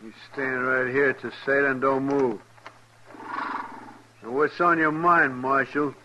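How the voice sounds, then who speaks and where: An actor playing a bullying, cowardly Old West villain in a radio western, curt, threatening and defiant. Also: male voice, mid-range voice, fairly even intonation, slow pace, sharp tone, lo-fi sound. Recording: vintage radio recording with faint noise.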